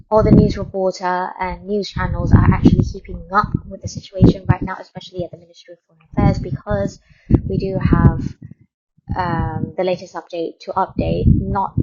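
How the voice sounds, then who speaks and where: A woman from Thailand presents a radio update in a casual, conversational style. Her voice sits at a middling pitch, and she rambles a little, repeating words to stress them. The audio is somewhat dull and lo-fi. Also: darkish tone, slight background noise. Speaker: radio host.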